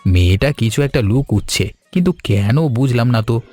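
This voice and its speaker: A man playing a curious, courteous young man in a cartoon, with an inquisitive, slightly halting way of talking. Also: mid-range voice, animated, darkish tone, close to an everyday voice. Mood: puzzled. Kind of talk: cartoon voice acting. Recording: music under the voice.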